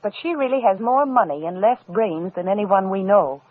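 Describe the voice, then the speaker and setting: An actress playing a poised, sharp-tongued society wife in an old-time radio drama, with polished theatrical delivery. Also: female voice, medium pitch, animated, moderate pace, dry recording, close to an everyday voice. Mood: dismissive. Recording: tinny old radio recording.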